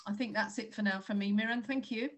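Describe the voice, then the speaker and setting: Woman, 60s, mid-pitched. Deliberate, articulate panelist on muffled video-call audio. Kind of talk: measured panel presentation. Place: indoor room at home, video call.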